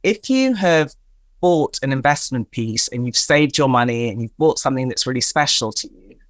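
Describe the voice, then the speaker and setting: A woman's voice: rumbling low, animated, moderate pace, no room sound. Talkative woman, dry sound. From Tanzania. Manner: emphatic, talkative, explains with examples. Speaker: guest, fashion stylist. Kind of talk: conversational interview.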